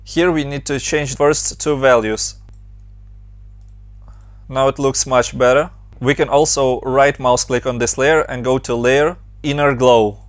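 A young adult man from Ukraine with a Ukrainian accent, giving brisk step-by-step narration for a software tutorial. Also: medium pitch, fairly even intonation, no echo. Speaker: motion design tutorial host.

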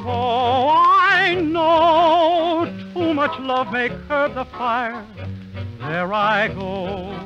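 A man sings a slow ballad in a very high, light tenor, drawing each phrase out. A full orchestra plays loudly behind him. The sound is dull and muffled, like an old radio broadcast. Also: over music. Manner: high tenor crooning, naive. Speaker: singer and comedy sidekick.

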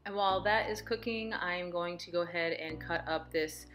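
Chatty woman in her thirties, lowish voice. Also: moderate pace, a bit bright. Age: 30s. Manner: upbeat, encouraging, chatty. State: out of breath after a workout. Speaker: fitness and meal prep vlogger. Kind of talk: casual vlog narration. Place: home living room and kitchen.

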